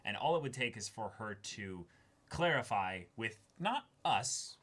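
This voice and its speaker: A man's voice: lowish voice, lively intonation, unhurried, a bit bright. A man co-hosting a casual discussion, talking analytically and stressing his key words. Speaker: co-host of an anime reaction discussion.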